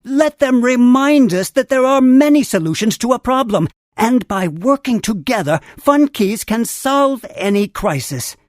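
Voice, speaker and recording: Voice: medium pitch, lively intonation, unhurried, few pauses, no room sound, close to an everyday voice. Actor playing a theatrical, wise game mentor creature, dry recording. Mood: solemn, regretful. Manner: theatrical, solemn, formal storytelling delivery. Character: wise male Funkey mentor, grand, earnest tree guardian. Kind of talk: scripted video game character dialogue.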